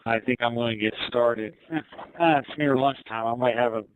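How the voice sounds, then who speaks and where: An older American man in easygoing, hesitant casual chat, pausing often, heard through a digital ham radio link. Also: mid-range voice, clear, resonant tone, phone-quality sound. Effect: digital ham radio voice codec. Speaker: amateur radio operator. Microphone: two-way radio microphone.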